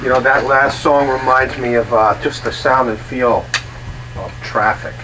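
A man's voice: medium pitch, steady tone, unhurried. A man hosting a casual music talk show, chatty and complimentary, often restarting his sentences. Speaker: host. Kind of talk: casual interview chat. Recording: distant mic with faint noise.

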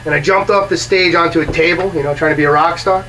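A man from Brooklyn, a working musician, tells easygoing stories and laughs often. His voice sits a bit high for a man. He sounds set back from a distant mic, with faint noise underneath. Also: steady tone, fast talker, pushed and bright. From Brooklyn, New York. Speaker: guest musician. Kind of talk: casual chat.

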